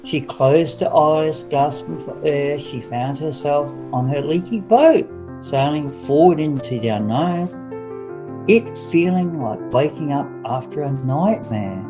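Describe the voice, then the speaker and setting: A man's voice: a little high, lively intonation, unhurried. A man narrating a fairy-tale audiobook in a dramatic, scripted storytelling style. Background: music.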